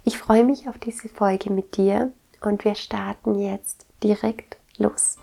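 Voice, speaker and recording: Slow-speaking woman with a stuffy nose. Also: medium pitch, steady tone, vocal fry, mellow, soft background music. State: congested with a cold, stuffy nose. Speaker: podcast host. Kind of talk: reflective wellness podcast monologue.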